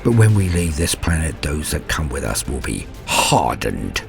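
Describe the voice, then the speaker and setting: A very deep-voiced, ruthless warrior of the dark gods, played by a man, narrates a war story with zealous menace. His grandiose delivery swings widely in pitch over faint music. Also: sing-song, measured pace, gravelly, heavily acted. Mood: cold triumph. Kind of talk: dramatic first-person war story narration.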